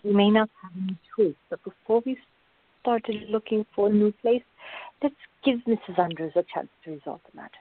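A woman playing a patient, sensible, gently firm woman in a radio drama. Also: medium pitch, a little fast, a little hiss or hum, natural voice. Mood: reassuring. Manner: calm, reasoning, gently firm. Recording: muffled, phone-like narrow-band audio.

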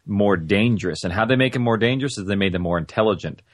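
A man's voice: fairly low pitch, fast, dry recording. A man speaking as an interview guest, explaining things casually and conversationally, often restarting his phrases. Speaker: interview guest, audio-drama writer and producer.

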